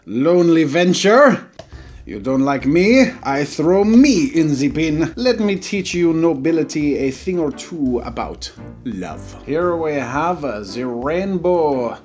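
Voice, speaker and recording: A melodramatic French artist with an exaggerated fake French accent. The voice sits fairly high and swings widely in pitch as he grandly narrates a video game in pompous, self-mocking character. Music plays behind him. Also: animated, slow pace, darkish tone. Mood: wounded, pompous. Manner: theatrical, dramatic, self-mocking. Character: pompous, melodramatic, wine-loving French male artist stuck in tower. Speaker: gaming video host.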